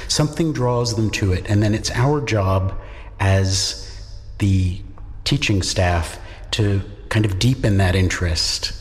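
A man who teaches Czech at a university, answering interview questions in a measured, reflective way with pauses. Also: on the low side, big-room sound. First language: English. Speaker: university lecturer in Czech studies.

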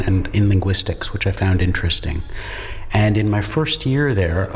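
A middle-aged man with a fairly low voice answers interview questions thoughtfully. He is articulate and reflective, with a modest academic manner. The sound has the long reverb of a hall. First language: English. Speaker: university linguistics lecturer.

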